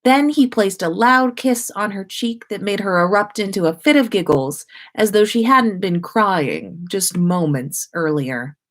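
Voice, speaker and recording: A woman reading a story aloud as expressive narration, like a host at story time. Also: medium pitch, a little slow, hardly any pauses. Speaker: host reading listeners' stories on a livestream.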